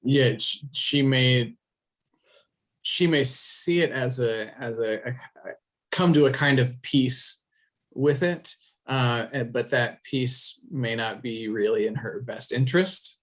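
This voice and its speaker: A man leads a thoughtful book discussion at an unhurried, slow pace. His phrasing is hesitant, feeling its way toward each point. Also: mid-range voice.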